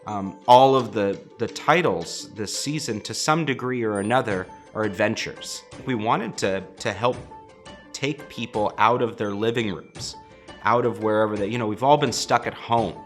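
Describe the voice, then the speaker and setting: A man's voice: on the low side, normal speed. A man speaking as a theater artistic director in an interview, with wry, emphatic phrasing. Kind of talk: behind-the-scenes interview. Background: music.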